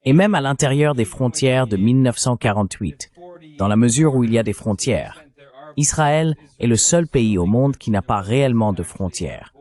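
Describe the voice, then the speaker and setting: A man's voice: medium pitch, animated, moderate pace, a little mellow. A man delivering political commentary as an analyst, in an emphatic, argumentative way.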